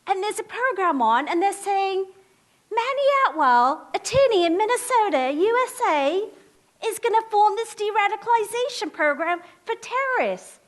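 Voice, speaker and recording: A woman voicing her chatty, affectionate cousin from England, breathless with excitement. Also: normal speed. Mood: excited, gushing. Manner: breathless, excited, affectionate, higher-pitched and louder.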